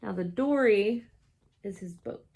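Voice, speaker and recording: A woman reading a children's storybook aloud in an expressive, dramatic way, with emphatic exclamations and hushed suspense. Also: medium pitch, measured pace, vocal fry, a little hiss or hum. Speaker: storybook reader.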